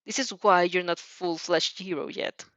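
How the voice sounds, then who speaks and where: A woman's voice: lowish voice, steady tone, a bit bright, no room sound, lo-fi sound. Woman voicing a stern, formal police chief. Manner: formal, measured speechifying.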